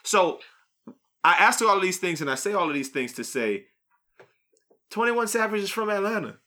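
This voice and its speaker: A man's voice: high voice, lively intonation, moderate pace, bright, forceful tone. A man hosting a podcast, debating casually with friends in a blunt, profane, teasing way. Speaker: podcast host.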